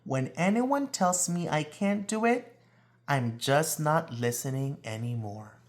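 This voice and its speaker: Slow, expressive man reading a picture book aloud. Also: on the high side, slight background noise. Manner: deliberate, expressive storytelling delivery with drawn-out emphasis. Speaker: read-aloud reader.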